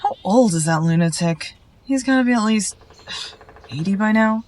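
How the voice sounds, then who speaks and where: A woman's voice: mid-range voice, lively intonation, normal speed, noisy background, natural voice. A woman playing a skeptical, sarcastic and wary female wolf healer in a scripted fantasy drama. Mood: sardonic. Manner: sardonic, wary.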